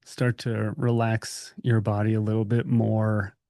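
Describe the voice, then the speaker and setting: A man with a dark, mellow voice guides a meditation in a gentle, unscripted manner. He sits on the low side in pitch and pauses between phrases, keeping an informal, calming tone. The sound is dry, with hardly any room on it. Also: dry recording. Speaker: meditation teacher.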